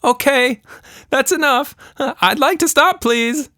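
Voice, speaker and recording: A man performs a storybook little girl in a raised, high voice. She is plucky and curious, speaking with earnest, child-like expression. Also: slow, bright, forceful tone, dry recording, studio quality, full character voice. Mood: alarmed.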